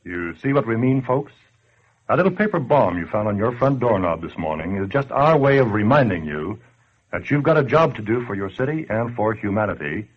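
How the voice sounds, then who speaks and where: A man's voice: on the low side, breathy edge. An American man playing a folksy, blunt plain-talker making a slangy appeal in 1940s radio style. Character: folksy plain-talking man scolding his neighbours amiably. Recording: dull muffled 1940s radio recording.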